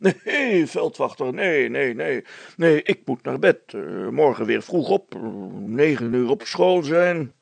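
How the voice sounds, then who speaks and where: A storybook schoolmaster played by a man with a raised, gravelly voice. He is firm and knowing, repeating his words deliberately to sound ominous. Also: on the high side, animated, no echo, pitched above the performer's own voice, exaggerated voice. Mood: firm, teasing.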